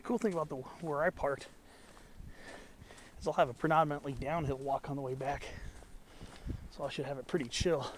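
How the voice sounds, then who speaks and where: A man's voice: fairly high pitch, fairly even intonation, moderate pace, long pauses, a little hiss or hum. A congested young man from Southern California, narrating a hike as a vlogger in a casual, enthusiastic, rambling way, recorded outdoors on a camera as he walks. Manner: enthusiastic, rambling, botanical asides. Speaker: hiking vlogger. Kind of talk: casual walk-and-talk narration. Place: outdoors in a canyon on a trail.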